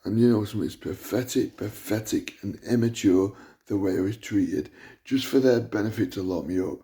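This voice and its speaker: A man with a loud voice, delivering an indignant, repetitive rant to camera as a vlogger. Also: fairly low pitch, normal speed. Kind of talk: ranting monologue to camera.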